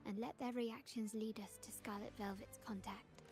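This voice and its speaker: An actress voicing a composed, purposeful young woman guide in a video game, explaining things in a measured, knowing manner. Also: a little high, fairly even intonation, close to an everyday voice. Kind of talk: scripted video game voice acting. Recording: game audio with background music.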